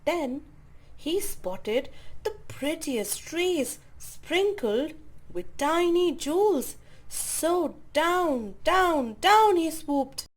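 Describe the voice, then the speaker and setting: A woman storyteller reading a children's picture book aloud with expressive, drawn-out emphasis. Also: sits high.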